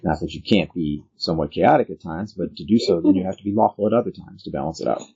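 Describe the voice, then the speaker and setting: A man's voice: sits low, fast, creaky, warm tone, breathy edge, muffled recording. A man working as game master, narrating a tabletop role-playing game in an explanatory, instructive way.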